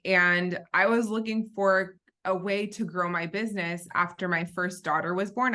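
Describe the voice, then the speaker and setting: A woman's voice: mid-range voice, bright, forceful tone. An American woman in her thirties, from Southern California, answering conversationally as a guest in a podcast interview. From Southern California, born in Israel. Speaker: podcast guest, business founder.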